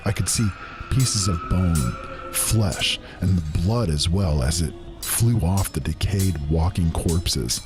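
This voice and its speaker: Guy playing haunted grieving man telling a dark fantasy tale, super deep solemn voice, halting, faint music under it. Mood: horrified, dread. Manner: solemn, halting, archaic phrasing. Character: haunted, grief-stricken man recalling horrors of undead. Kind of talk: dramatic first-person fantasy narration.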